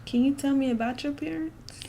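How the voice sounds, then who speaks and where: A woman's voice: high-pitched, brisk, vocal fry, mellow. Young woman asking simple interview questions. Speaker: interviewer, granddaughter. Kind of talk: oral history interview. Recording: audible background noise.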